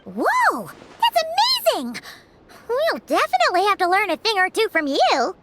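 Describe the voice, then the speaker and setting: A woman voicing a tiny, excitable floating fairy girl in an anime game, with a squeaky, childlike voice. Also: normal speed, background noise, full character voice. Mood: amazed. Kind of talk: anime game character acting. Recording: loud game music behind.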